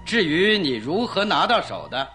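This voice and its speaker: An actor playing a stern, shrewd police detective in a radio drama, speaking firmly and theatrically. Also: male voice, fairly high pitch, very animated, moderate pace, bright, forceful tone, soft background music. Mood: stern, accusing. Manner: firm, theatrical. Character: stern, shrewd male police detective, proud of his rival. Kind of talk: Mandarin radio drama acting.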